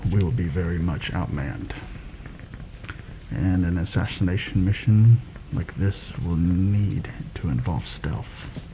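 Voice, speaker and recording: A man playing a seasoned fantasy assassin in a soft-spoken ASMR roleplay, measured and deliberate, wary and proud. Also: sits low, noisy background. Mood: focused, businesslike.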